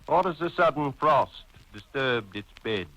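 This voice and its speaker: A man giving a dramatic poetry reading with grave, deliberate phrasing. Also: mid-range voice, lively intonation, a bit bright, lo-fi sound.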